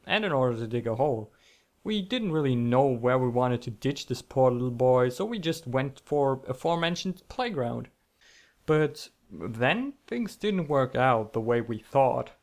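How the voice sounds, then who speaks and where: A young man with a medium-pitched voice tells comic stories from a script. He treats small events as grand epics with theatrical wording, and steps aside to poke fun at himself. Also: animated, moderate pace. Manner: mock-epic, theatrical, self-deprecating asides. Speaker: online storyteller. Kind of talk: comic scripted storytelling narration.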